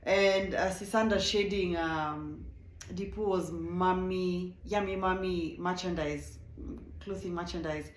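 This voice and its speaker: A young woman with a Kenyan accent talks through a reality show in a chatty, animated way, often stopping to correct herself. Her voice sits a little low. The room around her has a noticeable echo. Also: slow, a little hiss or hum. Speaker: reality TV reviewer. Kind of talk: casual video review.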